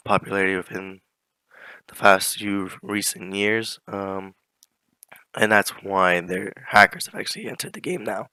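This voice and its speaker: A teenage boy giving a casual class presentation, hoarse from a flu, with many fillers and frequent throat clearing. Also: low-pitched, sharp tone, no echo, low-quality recording. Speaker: student presenter.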